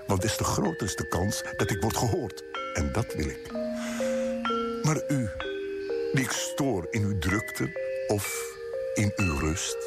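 An actor playing a defiant, indignant writer who addresses the powerful in a theatrical, emphatic radio drama monologue. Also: male voice, medium pitch. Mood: warning. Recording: loud music behind the voice. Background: music.

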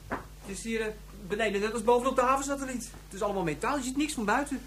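A restless young boy as acted in a radio space drama, whining and gushing. The words tumble out extremely fast, over a clear engine hum. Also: mid-range voice, a bit bright, natural voice. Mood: complaining. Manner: gushing, piles up intensifiers, whining. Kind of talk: science-fiction radio drama acting.

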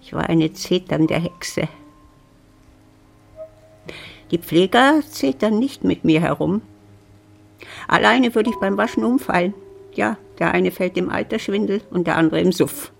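A woman playing a lonely, wry old widow in a German radio drama, musing and grumbling to herself in a creaky voice. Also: fairly low pitch, moderate pace, soft background music. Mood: wry, brooding. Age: older.

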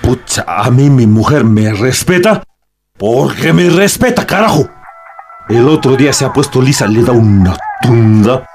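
An actor plays a swaggering Peruvian brute of a husband in a radio drama. His delivery is coarse and hot-tempered, with wildly swinging intonation. Music plays clearly behind him. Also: mid-range voice, lively intonation, measured pace, a little mellow. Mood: scornful, boastful. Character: brutish, boastful, hot-tempered macho young husband.

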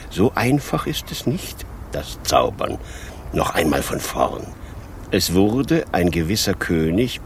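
A sly devil in a radio play, oily polite, drawls slowly and swoops all over. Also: male voice, low-pitched, slight background noise, heavily acted. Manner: drawn-out, obsequious, theatrical politeness. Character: sly, courteous male devil, mockingly polite.

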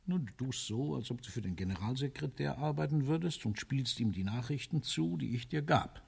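A cynical, battle-sick ruler in a German-style stage radio play. He speaks deliberately and rhetorically, with bitter weight at a middle pitch, his voice somewhat husky. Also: expressive, full character voice. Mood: brooding, resigned. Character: world-weary, cynical male military ruler, self-confessed butcher. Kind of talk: theatrical radio drama monologue.

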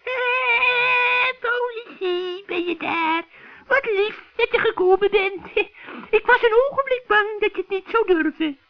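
A man voicing a scheming old witch in a children's radio play, shrill and cackling, with sugary, wheedling friendliness. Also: no room sound, heavily acted. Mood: sugary, gleeful. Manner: wheedling, drawn-out coaxing. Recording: dull, dry vintage radio recording.

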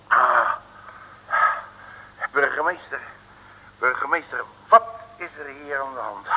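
An actor playing a cocky, blustering streetwise detective in an old Dutch radio play, with a cheeky colloquial manner. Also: male voice, high voice, muffled recording, noisy background, heavily acted. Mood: brisk. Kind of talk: 1950s-60s Dutch radio play acting. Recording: old radio recording, reverberant hall.

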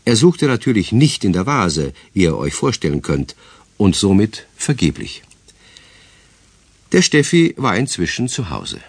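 Narrator of a children's story. His voice is low and husky, with lively rises and falls, recorded close and dry. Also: unhurried, dry recording, heavily acted. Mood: storytelling.

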